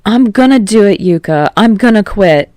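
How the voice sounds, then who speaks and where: A worn-out, dramatically complaining mother and schoolteacher, voiced by a woman narrator. She groans in exasperation at a raised pitch, in dry audiobook style. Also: expressive, moderate pace, darkish tone, no room sound, natural voice. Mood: exasperated. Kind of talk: audiobook character voice.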